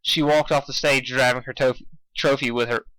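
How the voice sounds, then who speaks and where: A man's voice: mid-range voice, steady tone, moderate pace, fairly bright tone, no room sound, poor audio. A man narrating a story in an amateur way, stumbling over words and correcting himself. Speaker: fan fiction reader.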